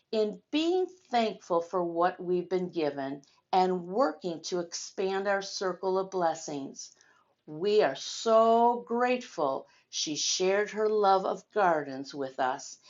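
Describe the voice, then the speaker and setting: A woman's voice: medium pitch, darkish tone. An older woman hosting a children's storytime, reading a picture book aloud and speaking expressively and directly to young listeners. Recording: dull, lo-fi sound.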